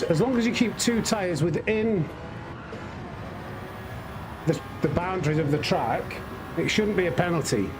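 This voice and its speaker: A man with a fairly high voice hosts a casual racing game livestream. He talks himself through his driving, picking at his own mistakes and speaking to viewers. Car engine noise is clearly heard behind him. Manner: muttering self-critique, addresses himself and viewers. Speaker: live streamer hosting a sim racing stream. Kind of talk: casual live gaming commentary. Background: racing game car engine sounds.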